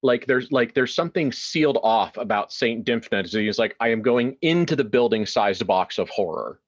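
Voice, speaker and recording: A man improvising narration for a tabletop role-playing game in a halting way, often repeating words as he searches for the next one. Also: medium pitch, lively intonation, dull audio. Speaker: tabletop role-player narrating his character. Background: background music.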